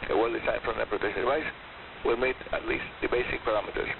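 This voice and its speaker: Gravelly man on hissy shortwave radio. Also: mid-range voice, fairly even intonation, fast talker. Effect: shortwave radio reception. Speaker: radio programme host. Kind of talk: scripted shortwave radio programme presentation. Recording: hissy, narrow-band shortwave radio broadcast. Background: radio static and hiss.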